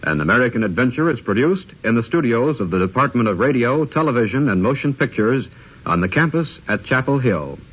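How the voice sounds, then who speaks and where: A man's voice: mid-range voice, expressive, brisk, husky. A man making formal, scripted announcements in the style of 1950s radio. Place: radio studio. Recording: dull 1950s radio recording.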